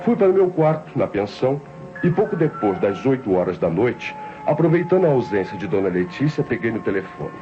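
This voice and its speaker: An actor playing a cold-blooded, scheming nephew who narrates his murder plot in deliberate, theatrical 1960s radio crime drama style. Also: lowish voice, big pitch swings. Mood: calculating, confiding. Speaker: narrator and protagonist. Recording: muffled old radio recording with music.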